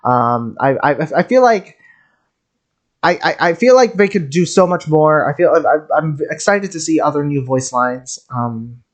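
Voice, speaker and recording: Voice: medium pitch, animated, unhurried. A streamer giving casual game reaction commentary in a rambling way, often stammering and repeating words. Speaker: streamer, game content creator.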